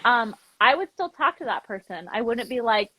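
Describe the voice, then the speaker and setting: A woman's voice: on the high side, sing-song, moderate pace, fairly bright tone, no room sound, low-quality recording. A woman chatting informally as a guest in a casual interview, telling stories and acting out bits of dialogue. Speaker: interview guest, language program founder. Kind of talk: casual live interview chat, animated storytelling.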